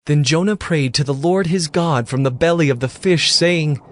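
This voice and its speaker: A man narrating a scripture audio drama in an expressive storytelling manner. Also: on the high side, moderate pace, no echo, studio quality.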